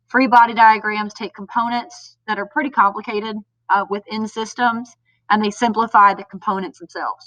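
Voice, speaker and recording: A woman's voice: medium pitch, bright, forceful tone, dry recording, far from the mic. Nasal-sounding woman teacher with a cold. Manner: patient step-by-step explaining with frequent ums. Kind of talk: homework explainer video for parents. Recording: dull lo-fi sound a bit off mic.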